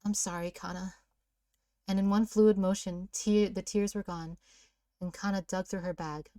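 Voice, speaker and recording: A woman's voice: medium pitch, steady tone, normal speed, warm tone, no room sound, clean studio sound. A playful, giggly woman co-hosting a read-aloud, narrating a story and bantering casually. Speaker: co-host of a read-aloud series.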